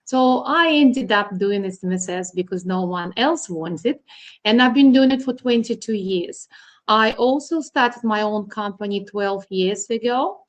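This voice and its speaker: Russian-born middle-aged accountant, plain instructive guest with frequent fillers. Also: female voice, medium pitch. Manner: plain, instructive, with ums and uhs. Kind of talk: explaining to an interviewer.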